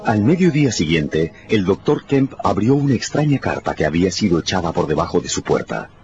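A man's voice: deep voice, big pitch swings, fairly quick. A man narrating a radio drama in a dramatic, scripted storytelling style. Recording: dull sound with music underneath. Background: music.

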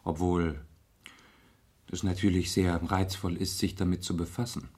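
An actor in a German radio crime drama playing a composed, aristocratic gentleman detective, terse and measured in manner. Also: low voice, fairly even intonation, slightly rough. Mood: detached, musing.

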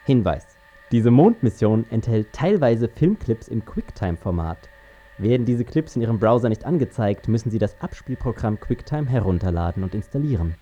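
A man's voice: fairly low pitch, lively intonation, normal speed, dark tone. An actor playing a male astronaut crewman in a radio play, reciting in a matter-of-fact way like an announcement. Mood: factual. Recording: dull sound with faint music.

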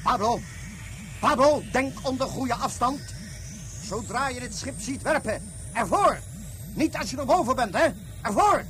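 Very high, urgent raid leader over music. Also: male voice, expressive, measured pace, sharp tone, heavily acted. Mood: focused, commanding. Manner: urgent, clipped commands and running report. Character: excitable, bossy male leader of a flying raid. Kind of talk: Dutch science-fiction radio play acting.

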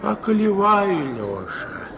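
Wheedling, slow, singsong old crook. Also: male voice, sits high, very animated, gravelly, dull audio, a crowd in the background, heavily acted. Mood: plaintive, resigned. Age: older. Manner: folksy, wheedling thieves' talk with diminutives. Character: wheedling old man, worn-out ex-convict fence, sly and meek. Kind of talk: mid-century radio play acting. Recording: old radio recording with music behind.

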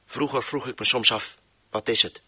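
A man acting as a brooding, anguished narrator in an avant-garde radio drama, speaking in terse, deliberate, theatrical phrases. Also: medium pitch, fairly even intonation, bright, forceful tone, slightly rough. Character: brooding, anguished narrator who opens and closes voices.

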